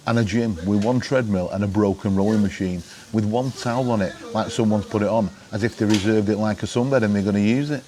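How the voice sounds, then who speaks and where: A man's voice: on the low side, steady tone, fairly quick, few pauses, mellow. A middle-aged British man presenting to camera in an informal, wry, chatty way, often putting questions to the viewer. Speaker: vlogger presenting gadget advice.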